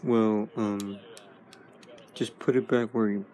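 A man's voice: lowish voice, fairly even intonation, slow, warm tone. A man voicing a laid-back male robot toy hero in a home toy video, talking with lots of fillers and restarts. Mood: easygoing. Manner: rambling, many fillers.

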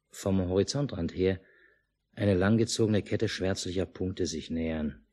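A man playing a calm, unruffled father who narrates in a measured way in a 1950s radio play. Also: deep voice, breathy edge. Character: calm, unruffled family father narrating wartime lakeside life. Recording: noisy mono 1950s radio recording.

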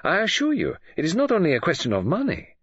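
A man narrating an audiobook voices a fussy, formal older male detective, speaking in a measured, soothing manner. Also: medium pitch, big pitch swings, husky, no room sound, highs cut off, pitched above the performer's own voice. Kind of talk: audiobook character voice.

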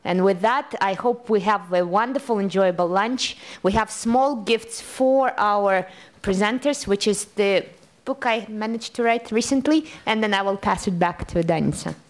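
A woman moderates a panel discussion in a conference room. She speaks reflectively, posing questions and answering them. Her pitch sits in the ordinary middle range. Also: moderate pace. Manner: reflective, builds points with rhetorical questions.

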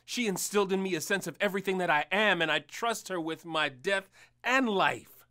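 Tormented, devout husband for audio drama, earnest and pleading, with a sharp forceful edge. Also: male voice, high-pitched, moderate pace. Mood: solemn, anguished. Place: small recording studio. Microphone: studio mic on boom.

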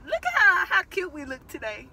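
A young adult woman chatting casually for a vlog in an animated, teasing, slangy way, recorded on a phone inside a car. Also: extremely high pitch, fairly quick, creaky. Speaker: vlogger. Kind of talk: casual vlog chat with playful bickering. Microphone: phone camera at arm's length.

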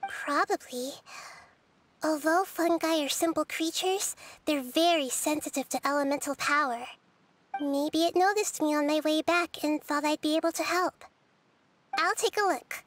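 A woman voicing a small, childlike, gentle and wise nature goddess in a video game, explaining things in a measured, soft, girlish voice. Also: high-pitched, slight background noise. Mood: thoughtful.

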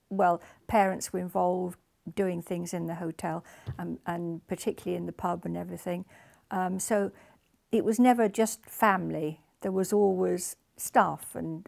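A woman's voice: on the low side, fairly even intonation, normal speed, vocal fry, darkish tone. An older woman from northern England recalling family Christmases, seated in a living room. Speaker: interviewee. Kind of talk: reminiscing interview.

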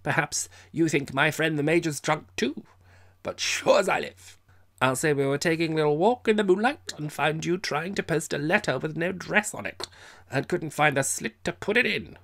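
Audiobook drunk: raised pitch, solemn, indignant, insistent, slightly stumbling. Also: male voice, normal speed, creaky, a bit bright. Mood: threatening, pompous. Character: drunken middle-aged man, solemn, indignant, pompous, comic. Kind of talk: audiobook character voice.

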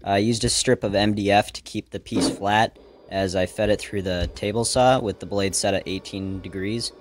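A man's voice: lowish voice, fairly even intonation, moderate pace, slight background noise. A man narrating a scripted woodworking how-to in a plain, matter-of-fact, step-by-step way. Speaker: woodworker, video host.

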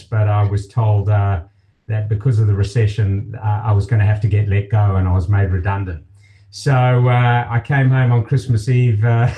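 A man in his fifties from Zimbabwe, a company director telling his story as a guest on a video call, in a relaxed way with many fillers. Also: mid-range voice, rough recording. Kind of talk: casual interview. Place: home office, indoors.

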